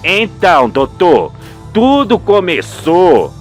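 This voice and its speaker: A man's voice: high-pitched, huge pitch swings, takes it slowly, soft background music, exaggerated voice. A man voicing an indignant old cheesemaker, folksy and emphatic, in a radio soap opera. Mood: worried.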